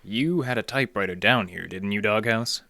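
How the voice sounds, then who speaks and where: An actor playing a wry, hard-boiled library detective in the style of noir radio drama, with deadpan wisecracks. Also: male voice, on the low side, normal speed, vocal fry, dry recording, clean studio sound. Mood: probing. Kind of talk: 1940s radio detective acting, narration and dialogue.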